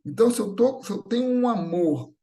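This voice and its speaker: A man's voice: high voice, expressive, brisk, gravelly, dark tone. A man with a Brazilian Portuguese accent giving a semi-improvised spiritual talk, teaching with repeated phrases and short tag questions. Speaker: speaker at a Spiritist gathering.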